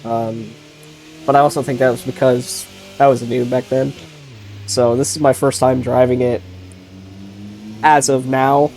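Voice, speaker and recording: A man with a stuffy nose from a sinus infection, giving relaxed, rambling commentary while driving a racing sim. He often restarts his sentences and blurts out short exclamations. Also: medium pitch, measured pace, pauses often, soft background music, rough recording. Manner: rambling and easygoing, with self-corrections and sudden exclamations while driving. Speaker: sim racing video creator. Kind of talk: casual gameplay commentary.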